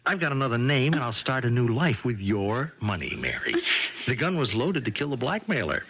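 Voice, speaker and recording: An actor playing a henpecked ex-convict husband in a 1970s radio mystery, outwardly easygoing and placating, with a sly, scheming undertone. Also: male voice, medium pitch, sing-song, fairly quick, a bit bright, slightly rough, full character voice. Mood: cold, gloating. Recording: noisy, muffled 1970s radio broadcast.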